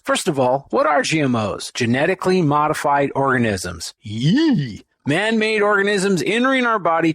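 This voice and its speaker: A man's voice: on the high side, fairly bright tone, no room sound. A man reading an upbeat radio advertisement in a salesy manner. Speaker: ad spokesman. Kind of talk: read advertisement.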